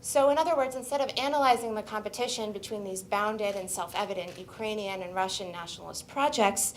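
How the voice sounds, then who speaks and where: A woman historian presenting her book at an academic seminar, speaking in a measured, scholarly way with occasional restarts. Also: mid-range voice, slight background noise. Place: seminar room.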